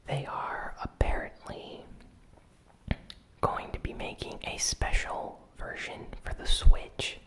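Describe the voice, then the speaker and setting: A host whispering a weekly gaming news recap in ASMR style, soft and unhurried with frequent "um" fillers. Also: rough recording. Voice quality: fully whispered.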